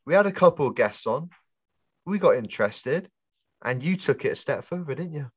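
A man's voice: a little high, expressive, moderate pace, husky, dry recording. A man co-hosting a casual podcast, laid-back and chatty, with a chesty cough. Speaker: podcast co-host. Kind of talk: casual chat.

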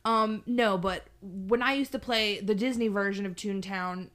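Young woman chatting, medium pitch. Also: fairly even intonation, measured pace, fairly bright tone. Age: 20s. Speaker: podcast co-host. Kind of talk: casual chat.

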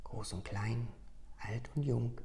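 Female storyteller, hushed whispered reading, slow and soft, husky low register with fry. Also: slight background noise.

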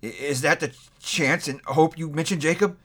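A man's voice: lively intonation, moderate pace, a bit bright. A man doing a stammering, frightened old miser. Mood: pleading. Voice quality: stammering, higher than the narration. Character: frightened old male miser, timid and pleading.

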